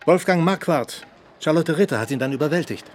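An actor playing a matter-of-fact police officer giving a report in a radio drama. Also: male voice, fairly high pitch, lively intonation, brisk. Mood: businesslike.

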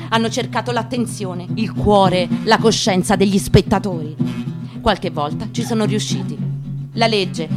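Woman's dramatic reading over plucked guitar. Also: on the high side. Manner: deliberate, declamatory, short phrases with pauses. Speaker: actress giving a staged reading. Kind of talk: dramatic literary reading of a first-person memoir with guitar. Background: acoustic guitar playing.

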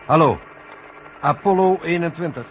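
An actor playing a brisk, businesslike mission control operator in a 1970s science fiction radio play. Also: male voice, fairly high pitch, lightly acted. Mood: dutiful, brisk. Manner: clipped, businesslike. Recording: dull, noisy vintage radio play recording.

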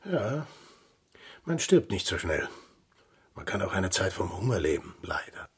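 An actor playing a gruff, plain-spoken sailor in a radio drama, rough-voiced, terse and fatalistic. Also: male voice, deep voice, sing-song, unhurried, exaggerated voice. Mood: resigned, reassuring. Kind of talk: German-language radio play acting.

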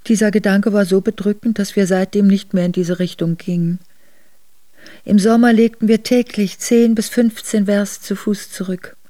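An actress playing an earnest, reflective exiled noblewoman, narrating her memoir in a measured way for a radio drama. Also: mid-range voice, fairly even intonation, warm tone, no echo, lightly acted. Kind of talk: literary first-person radio drama narration.

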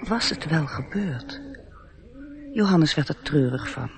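An actor as the gentle narrator of a fairy tale in a radio play, telling it in storybook style. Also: male voice, a little high, lively intonation, takes it slowly. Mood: wistful.

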